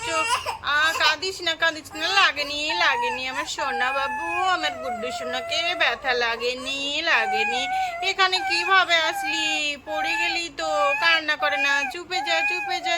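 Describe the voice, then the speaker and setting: A woman's voice: extremely high pitch, heavy background noise. A woman playing a kind village mother who soothes a hurt toddler with repeated words. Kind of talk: home family skit.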